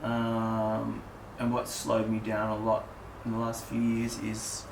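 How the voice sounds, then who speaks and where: A middle-aged man talking frankly about his life as an artist in a documentary interview, halting and reflective. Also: lowish voice, completely flat delivery, vocal fry. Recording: echoey room with background noise.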